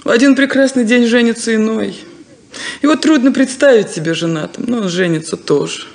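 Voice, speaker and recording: An actress playing a sad, resigned unmarried farm daughter, wistful and deliberate, in a 1970s radio play. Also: female voice, a little high, darkish tone, natural voice. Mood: resigned, bitter.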